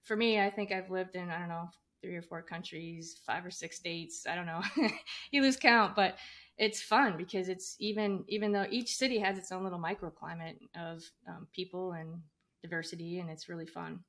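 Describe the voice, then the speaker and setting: A woman's voice: fairly low pitch, steady tone, normal speed, fairly bright tone. Chatty woman hosting a podcast. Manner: chatty, laughs easily. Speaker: podcast host. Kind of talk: casual interview.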